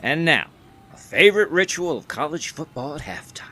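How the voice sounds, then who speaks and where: An actor playing a brash younger 1920s sportswriter with a sarcastic, needling manner. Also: male voice, fairly high pitch, sing-song, moderate pace, noisy background. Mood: mocking.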